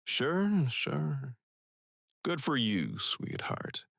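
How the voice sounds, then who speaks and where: A man's voice: medium pitch, huge pitch swings, drawn-out words, gravelly, a bit bright, dry recording, studio quality, heavily acted. An actor playing a blustering, condescending older man in an audiobook, with a folksy, patronizing manner. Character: blustering, condescending older man, bushy-browed family friend. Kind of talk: audiobook character acting.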